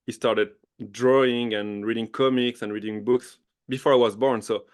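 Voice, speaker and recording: A man's voice: mid-range voice, fairly even intonation, moderate pace. A man in his thirties with a French accent, chatting eagerly as a podcast guest, often laughing and restarting his sentences. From France. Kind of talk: casual interview chat.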